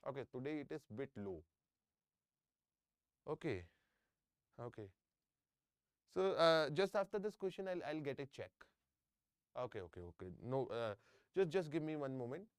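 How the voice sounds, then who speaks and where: A man's voice: medium pitch, sing-song, normal speed, pauses often, clear, resonant tone, dry recording, studio quality. A middle-aged man with an Indian English accent, teaching a live online engineering class, often repeating himself and checking in with students. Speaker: engineering exam tutor. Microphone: close microphone.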